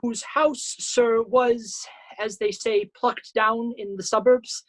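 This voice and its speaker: A woman's voice: on the high side, fairly even intonation. Woman doing a bumbling old-england constable guy, earnest n self-important, stage shakespeare. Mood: indignant. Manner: self-important, halting malapropisms. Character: bumbling earnest male constable who muddles his words.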